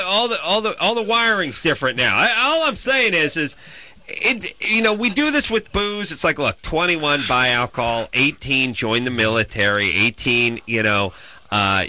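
A man's voice: on the high side, very animated, normal speed, sharp tone, no echo. A man hosting a call-in radio show, joking and riffing in casual banter with many restarts.

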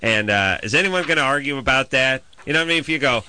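A man's voice: medium pitch, animated, fast talker, noisy background. A man hosting a call-in radio show, joking and riffing in casual banter with many restarts.

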